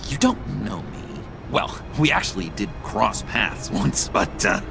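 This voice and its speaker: Game role: fawning, unhinged young male oddball, theatrical and stammering, hugely animated pitch with gravelly breaks. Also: a little slow, heavily acted. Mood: giddy, starstruck. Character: excitable, fawning, nervous young male oddball superfan with a manic laugh. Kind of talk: video game voice acting. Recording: music behind the voice.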